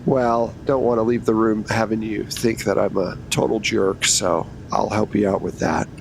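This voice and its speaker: A man's voice: lowish voice, fairly even intonation, moderate pace, gravelly. Man acting a businesslike female agent, noisy recording. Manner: businesslike, bargaining. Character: dealmaking female government agent, coolly in control.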